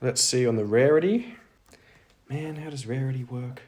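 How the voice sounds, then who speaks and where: A man's voice: mid-range voice, moderate pace, background noise. A man thinking aloud, unscripted, as he plays a tabletop game alone, musing to himself. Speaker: solo roleplayer.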